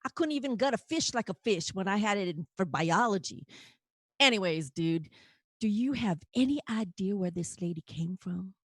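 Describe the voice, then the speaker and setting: A woman's voice: medium pitch, lively intonation, moderate pace, dry recording, studio quality, lightly acted. A woman playing a sarcastic young housemate with a casual, wry manner in a comedic audio play. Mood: exasperated relief.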